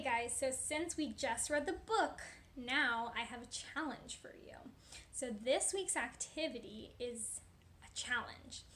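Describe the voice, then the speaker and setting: A woman children's teacher with an expressive style, reading a storybook and addressing children. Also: high voice. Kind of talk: animated storybook read-aloud for children.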